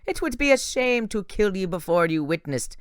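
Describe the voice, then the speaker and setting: A man's voice: high-pitched, sing-song, normal speed, dry recording, clean studio sound, voice pushed up. A man voicing a menacing male monster who speaks with a heavy French accent and gloats over his captives. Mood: gloating.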